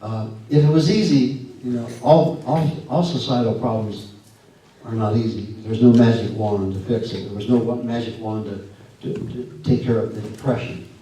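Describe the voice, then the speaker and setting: A man with a scratchy voice giving a folksy, earnest talk at a church and answering questions, halting often with fillers and restarts. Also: on the low side, steady tone, mellow. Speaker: guest speaker. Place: church sanctuary. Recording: echoey hall from far off.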